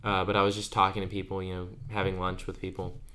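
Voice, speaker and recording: A man's voice: sits low, monotone, quick pace, sharp tone. An eighteen-year-old man co-hosting a podcast, telling stories in a chatty way and laughing as he goes. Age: under 20. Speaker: podcast co-host. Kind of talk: casual chat.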